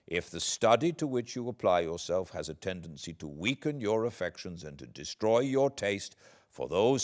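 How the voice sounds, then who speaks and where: A British man, an audiobook narrator, reading classic literature aloud in a measured, expressive, dramatic way. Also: sits low. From Britain.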